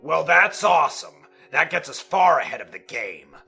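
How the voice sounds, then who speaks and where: An actor playing a brooding, sarcastic detective with a short temper and a gravelly voice, in a radio drama. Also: male voice, fairly high pitch, lively intonation, normal speed, sharp tone, soft background music, full character voice. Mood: angry, sarcastic.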